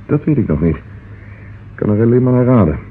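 An actor playing a courteous police inspector in a Dutch radio drama, asking calm, probing questions. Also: male voice, mid-range voice, fast talker, natural voice. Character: police inspector, courteous, tender with his sweetheart. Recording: muffled, noisy old radio recording.

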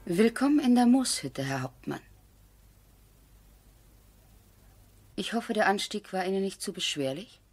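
An actress playing a cultivated, practical lady of a country estate, composed and gently ironic, in radio drama style. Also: medium pitch, very animated, brisk, breathy edge. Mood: polite, reproachful. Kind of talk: 1970s German radio drama acting.

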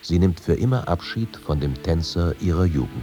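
A man reading literary accounts in a deliberate, scripted narrative style. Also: sits low, lively intonation, music in the background. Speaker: reader of quoted accounts.

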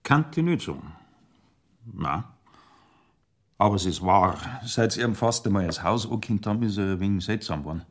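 Plodding bavarian village cop, husky lowish voice, hesitant, matter of fact, radio drama. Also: male voice, lively intonation, moderate pace, pauses often. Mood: puzzled. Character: hesitant, plodding male village police sergeant.

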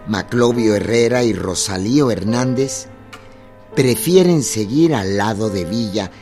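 A man's voice: on the low side, a little slow, a little mellow, soft background music. A man narrating a historical radio drama in a scripted, dramatic style.

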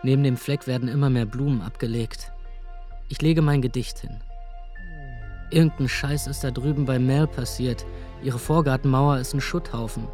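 A man narrating in the first person in a radio drama, rough and weary, with coarse language. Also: medium pitch, fairly even intonation, moderate pace, mellow, soft background music. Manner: rough, weary inner monologue with coarse words. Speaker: narrator and lead character.

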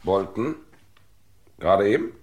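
An actor playing a brisk, businesslike police inspector in a vintage crime radio play, speaking in a clipped, commanding, matter-of-fact way. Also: male voice, low-pitched, expressive, background noise. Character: brisk, businesslike male police inspector investigating a hotel murder.

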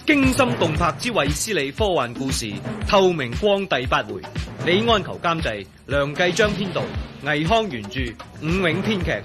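Higher-voiced male radio announcer over clear music. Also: big pitch swings, moderate pace. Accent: Hong Kong Cantonese. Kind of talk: scripted title and credits announcement.

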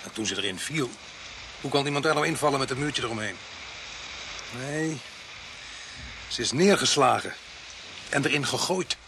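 A man's voice: medium pitch, animated, moderate pace, long pauses, noisy background, close to an everyday voice. A man acting in a radio drama as a hot-headed police sergeant, protective of his people and emphatic. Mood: incredulous.

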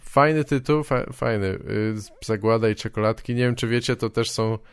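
A man hosting a podcast, talking casually with a dry, deadpan, joking manner. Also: lowish voice, moderate pace, clean tone, no room sound. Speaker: podcast host.

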